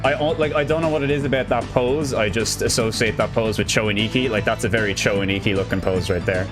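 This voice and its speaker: Irish guy streaming games, lowish voice, upbeat rambly chat, game music playing behind. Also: steady tone, fast. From Ireland. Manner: chatty, rambling, upbeat, with frequent restarts. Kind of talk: casual live gameplay commentary.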